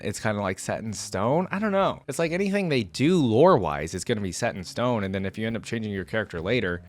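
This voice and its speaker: Low-voiced man reading and reacting casually. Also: no room sound. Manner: informal, breaks off with wry asides. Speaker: gaming reaction content creator. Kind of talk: reading a story aloud with casual reaction commentary.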